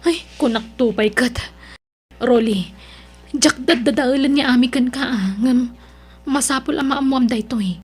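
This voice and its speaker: Very high, husky concerned woman played by actress. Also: unhurried, long pauses. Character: nosy, concerned woman friend giving warnings. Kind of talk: Filipino radio drama acting.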